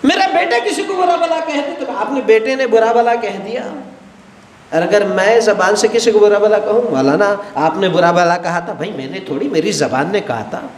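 Animated high-voiced male cleric. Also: normal speed, reverberant, in a large hall. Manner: animated, gestures widely, acts out everyday dialogues. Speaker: Shia cleric lecturing. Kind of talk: religious lecture. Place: studio with a blue backdrop, indoors. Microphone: headset mic.

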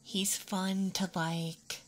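Slow, hushed woman doing ASMR. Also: mid-range voice, heavy background noise. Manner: hushed, relaxed, drifting into whispers. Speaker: ASMR performer. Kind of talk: soft casual ASMR roleplay, partly whispered. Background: wooden toy sounds, faint ambience.

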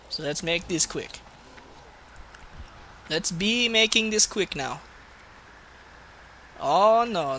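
A man's voice: sits high, sharp tone, slight background noise, full character voice. A man putting on a Russian accent as a crude, boastful, grumbling gamer, giving comic gameplay commentary in broken English. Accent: put-on Russian. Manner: crude, swearing, mock-menacing, broken English.

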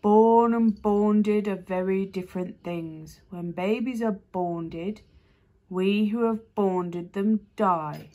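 A woman voicing an enormous, gentle creature that speaks slowly and solemnly in a deep voice, reading a storybook. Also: lightly acted. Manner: slow, grave, deliberate.

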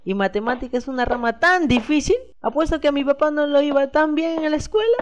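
A woman's voice: high voice, animated, quick pace, slight background noise. A woman playing a plaintive, unsure schoolboy in an educational radio play. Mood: sulky, dejected. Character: glum, hesitant schoolboy, misunderstood and timid. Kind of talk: educational radio soap acting.

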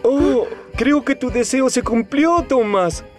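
A man voicing a proud, excitable locomotive in a children's cartoon dub, theatrical and self-important, with a Latin American accent. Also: extremely high pitch, slow pace, soft background music. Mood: admiring. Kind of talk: animated children's series dub.

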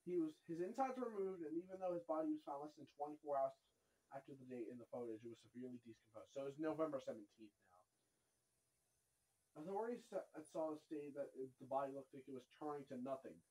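An excitable reaction host who reads on-screen text aloud and comments on it, often exclaiming and repeating phrases. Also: medium pitch, fast, low-quality recording. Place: small dark room at home, indoors.

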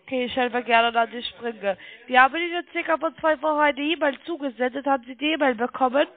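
High-voiced congested woman with foreign accent on phone. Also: fast, no echo. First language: not German. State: congested with a cold. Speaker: call center trading sales caller. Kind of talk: telephone sales pitch. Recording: muffled band-limited phone line.

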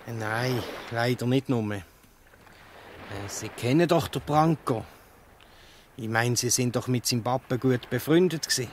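A man's voice: mid-range voice, measured pace, pauses often, a little hiss or hum, close to an everyday voice. A man playing a dutiful, polite but hesitant policeman in a Swiss German dialect radio play. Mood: polite, awkward. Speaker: actor in an audio drama.

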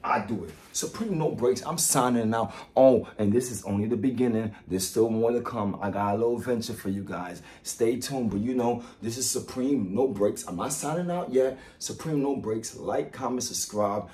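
A man's voice: mid-range voice, moderate pace, hardly any pauses, a little mellow. A man, around his thirties, talking casually to viewers in a boastful, emphatic vlog style, often repeating his phrases. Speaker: vlogger, product reviewer.

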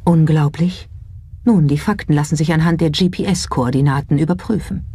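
A documentary narrator reading a script in a measured, suspenseful storytelling manner. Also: mid-range voice, dark tone, slightly rough. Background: background music.

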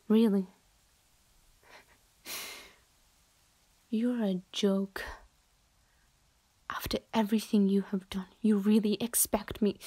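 A young woman voicing an irritated young woman who bluntly rebuffs a persistent admirer, with sarcasm and frequent breathy whispers. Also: medium pitch, darkish tone. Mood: cold, mocking. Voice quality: often drops to a breathy whisper. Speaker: voice roleplayer. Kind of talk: improvised audio roleplay.

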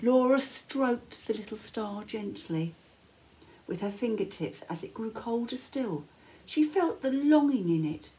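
An older woman reading a children's bedtime story aloud with measured, dramatic phrasing and pauses. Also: a little high, warm tone, poor audio. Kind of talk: expressive picture-book read-aloud. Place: small living room, indoors.

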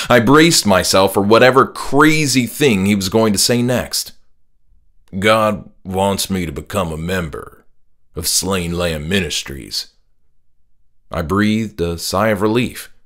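A man's voice: on the low side, a bit bright. A man reading his own horror story as scripted first-person audiobook narration. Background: faint music.

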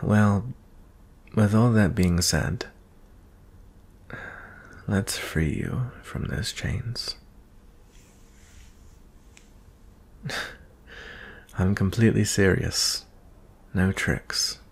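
A man playing a gentle, merciful young prince in an intimate ASMR fantasy roleplay, soft-spoken and hesitant, often slipping into whispers. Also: on the low side, drawn-out words, pauses often, slightly rough, full character voice. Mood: reassuring. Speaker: audio roleplay performer.

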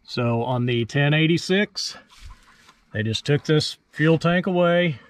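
A man's voice: a little high, slow pace. A man hosting a farm video, casually explaining tractor features in a plainspoken, folksy way and thinking aloud as he goes.

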